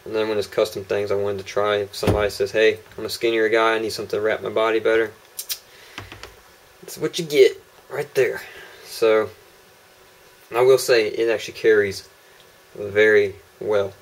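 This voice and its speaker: Rambling casual man, slightly low voice. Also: normal speed, long pauses. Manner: relaxed, rambling, many ums and uhs. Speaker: holster maker showing prototypes. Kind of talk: casual product demo vlog. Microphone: camera mic on a tripod.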